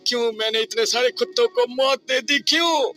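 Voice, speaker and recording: A man's voice: very high-pitched, normal speed, music in the background, pitched above the performer's own voice. A man voicing the anguished ghost of a British soldier, pleading and wailing in a horror story.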